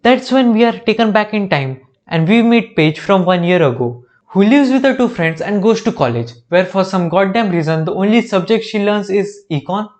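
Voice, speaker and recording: A man's voice: sits high, lively intonation, normal speed, few pauses. A young man with an Indian accent, recapping a TV show with sarcastic, jokey asides. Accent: Indian English. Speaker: reaction video host. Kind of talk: comedic recap narration.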